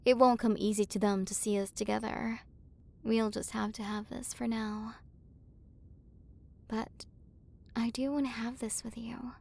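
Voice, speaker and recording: A woman's voice: on the high side, level tone, a little slow, creaky, long pauses. A woman playing a proud, flustered tsundere warrior queen with a secretly tender side, in an intimate ASMR roleplay. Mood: tender, softened. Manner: haughty but flustered, softening into intimate murmurs. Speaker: voice actor. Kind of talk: ASMR romantic roleplay acting.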